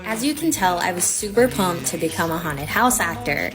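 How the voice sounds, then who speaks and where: A woman's voice: lowish voice, lively intonation, moderate pace. A woman reporter giving first-person scripted video narration. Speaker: newspaper reporter. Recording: voice-over with music bed. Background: music.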